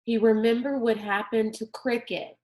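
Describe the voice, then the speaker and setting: A woman narrating a children's novel aloud in a deliberate, expressive storytelling manner. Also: a little high.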